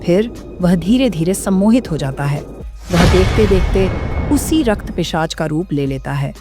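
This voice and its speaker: Lively female horror-story narrator over music. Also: on the low side, a little slow. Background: prominent music.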